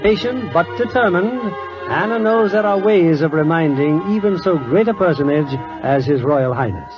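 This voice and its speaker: A man narrates an old-time radio drama in a theatrical, formal host's style. His pitch is somewhat high and very lively, with music under him on a muffled recording. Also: normal speed. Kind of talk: 1940s radio scripted narration.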